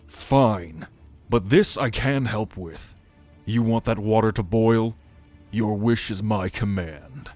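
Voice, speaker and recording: A man's voice: lowish voice, slow pace, darkish tone, faint music behind. A man playing an ancient, haughty, brooding immortal son of a god in a fantasy audio roleplay, speaking formally and solemnly with disdain. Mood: impatient, confident.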